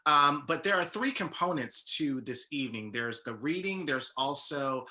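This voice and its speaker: A man's voice: a little high, animated, normal speed, sharp tone. An American man hosting an interview in a conversational way, introducing points and putting questions to guests. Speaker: interview host.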